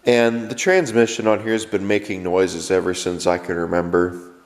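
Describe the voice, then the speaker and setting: A man's voice: low voice, steady tone, moderate pace, long reverb tail. A man with a stuffy cold, rambling casually to camera about his lawn tractors and restarting his sentences as he goes. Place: workshop.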